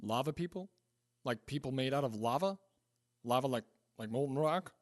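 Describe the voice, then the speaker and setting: A man's voice: medium pitch, animated, normal speed, no room sound, clean studio sound, natural voice. An actor playing a bewildered everyman inventor, hesitant, questioning and a bit clumsily flirtatious, in a sci-fi audio drama. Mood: confused.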